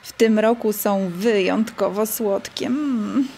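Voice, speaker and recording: A kindly goose, played by a woman, welcomes guests in a children's audio drama. The voice sits at a middle pitch and is chatty and homely, with slight noise behind it. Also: takes it slowly, vocal fry, mellow. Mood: welcoming. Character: kindly, hospitable female goose, homely and wise.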